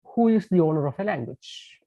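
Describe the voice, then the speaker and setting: A halting male lecturer over a dull phone line. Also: on the high side, lively intonation, no room sound. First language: Tamil. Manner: hesitant, many fillers and restarts. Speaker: guest lecturer. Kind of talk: online lecture over a call. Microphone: phone on a landline call. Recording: dull dry phone-call audio.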